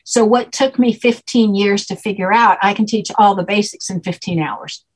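A woman's voice: medium pitch, moderate pace, slightly rough, poor audio. A woman guest who explains at length and keeps checking that the listener follows along. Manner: patient, checks understanding with repeated "okay?". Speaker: guest teaching a name system. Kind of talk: explanatory conversation.

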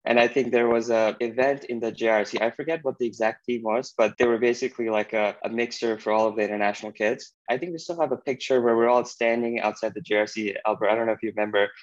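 A man in his thirties from Pakistan, a startup co-founder with a mid-range voice. He answers interview questions in a warm, chatty way with small restarts. His audio sounds dull and lo-fi, as over a remote connection. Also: mid-range voice.

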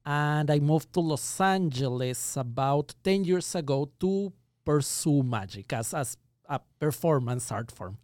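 Ecuadorian-accented man, measured and thoughtful. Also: fairly high pitch, expressive, darkish tone, dry recording, clean studio sound. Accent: Ecuadorian Spanish. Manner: thoughtful, explains in measured phrases. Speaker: guest magician. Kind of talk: reflective conversational interview.

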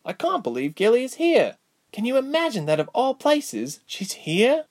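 A man voicing a warm, spirited young woman adventurer with an eager, expressive delivery in a fantasy story. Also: high-pitched, a little fast, dry recording, studio quality, voice pushed up, full character voice. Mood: quietly confident.

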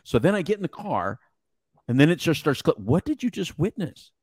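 A man whose first language is Spanish, telling stories in casual podcast conversation with lively, slangy delivery. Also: a little high, brisk, slightly rough, dry recording, clean studio sound. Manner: animated storyteller, slangy, says "bro". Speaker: podcast co-host.

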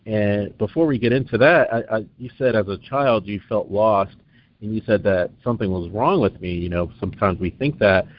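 A man's voice: fairly low pitch, moderate pace. A man hosting an interview, asking long, hesitant, rambling questions. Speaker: interview host. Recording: dull lo-fi sound.

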